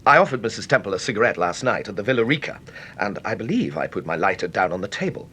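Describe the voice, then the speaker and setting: An actor playing a polite, hesitant, apologetic widower in a British radio mystery, with a British accent, on an old radio recording. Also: male voice, sing-song, fast talker, pushed and bright. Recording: noisy old radio recording, low rumble.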